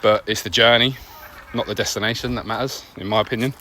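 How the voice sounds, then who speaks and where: A man's voice: fairly low pitch, steady tone, pushed and bright. Man reflecting outdoors over background noise. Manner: earnest, conversational, pausing between short phrases. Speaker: podcast host. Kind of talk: reflective personal monologue while walking a dog. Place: outdoors on a dog walk. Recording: noisy outdoor recording with nature ambience.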